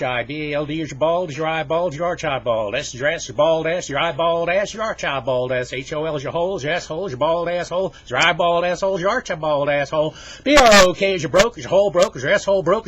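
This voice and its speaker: A man voicing a new schoolboy who gives a loud, deliberate, rhythmic syllable chant. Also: high-pitched, voice pushed up. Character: cocky new schoolboy nervously attempting a spelling chant. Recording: dull, noisy lo-fi recording.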